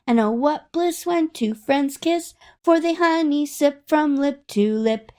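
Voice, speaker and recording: Sweet little candy bird played by a woman, high-pitched lilting verse for a children's storybook. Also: slow pace, a little mellow. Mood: sweet, inviting. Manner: lilting sing-song verse.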